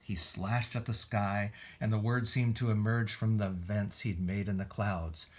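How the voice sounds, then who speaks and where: A man with an American accent reading aloud at a children's storytime, expressive and deliberate, with casual asides in simple words. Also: on the low side. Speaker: library storytime reader.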